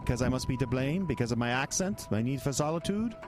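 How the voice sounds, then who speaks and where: An actor playing a sinister, self-justifying physician in a radio drama, with a foreign accent and a measured, formal, deliberate delivery. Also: male voice, medium pitch, lively intonation, lightly acted. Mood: coolly taunting. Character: sinister, coolly self-justifying male physician haunting his files. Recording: loud music bed under speech.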